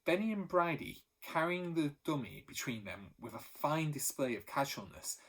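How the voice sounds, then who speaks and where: A male teacher reading a children's storybook chapter aloud, steady and expressive, lifting his voice for the characters. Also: on the high side, normal speed.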